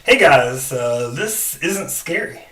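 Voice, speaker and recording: A man's voice: medium pitch, lively intonation, slow pace, fairly bright tone. A man co-hosting a podcast in a casual, joking way. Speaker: podcast co-host.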